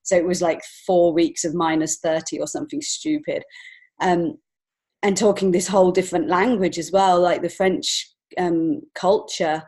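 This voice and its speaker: Low-pitched woman, heartfelt and informal. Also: normal speed, a little mellow, breathy edge. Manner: heartfelt, informal, emotionally open. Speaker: podcast guest, children's book author and illustrator. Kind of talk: personal storytelling in an interview.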